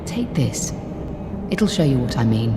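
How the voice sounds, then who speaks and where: A woman's voice: very deep voice, big pitch swings, measured pace, very noisy, full character voice. An actress playing a driven, intense female scholar in a fantasy video game, searching for her lost family. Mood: wry, absorbed. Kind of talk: dramatic video game dialogue. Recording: echoey hall reverb, music behind.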